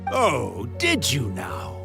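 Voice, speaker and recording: An actor playing a mischievous male spirit in a video game, with a grandiose, hammy, drawn-out delivery. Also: mid-range voice, huge pitch swings, creaky, over music, heavily acted. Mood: villainous, gloating. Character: theatrical mischievous male spirit of a yokai author.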